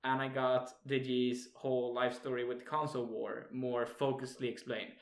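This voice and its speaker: A man's voice: medium pitch, little pitch movement. Swedish-accented young man, laughing, swearing. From Sweden. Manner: laughs often, swears casually, halting with fillers. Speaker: podcast co-host. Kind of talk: casual chat.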